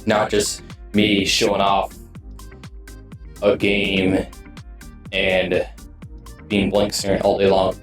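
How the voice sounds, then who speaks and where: A man giving casual, rambling streamer talk, halting and often trailing off mid-sentence. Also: sits low, monotone. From United States. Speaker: video game streamer. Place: indoors in his streaming room setup. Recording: roomy echo, distant mic, music behind.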